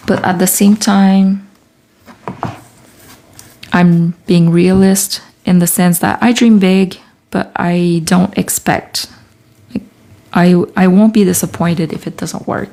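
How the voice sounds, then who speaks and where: Relaxed woman, slow gravelly voice. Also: fairly low pitch. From Quebec, Canada. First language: French. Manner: chatty, thinks aloud, reads viewer comments. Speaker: artist livestreaming her process. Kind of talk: casual livestream chat while working.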